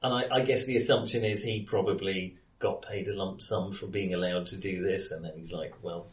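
A man's voice: low voice, fairly even intonation, a little fast. A man co-hosting a casual film discussion, thinking aloud in a speculative, questioning way. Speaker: podcast co-host. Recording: roomy, distant from the mic.

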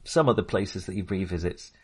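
A man's voice: deep voice, fairly quick, husky. A man co-hosting a casual film discussion, thinking aloud in a rambling, hesitant way. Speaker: podcast co-host.

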